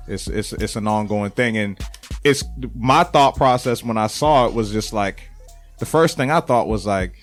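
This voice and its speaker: A man's voice: on the low side, moderate pace, faint music behind. A man with an American accent hosting a podcast in casual, slangy talk, repeating words for emphasis. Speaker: podcast host. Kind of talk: casual chat.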